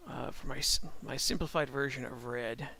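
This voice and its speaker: A middle-aged man chatting casually on a live stream while he draws, thinking aloud in a calm voice with halting restarts. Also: medium pitch, vocal fry. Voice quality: calm-sounding voice. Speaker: streamer and animator.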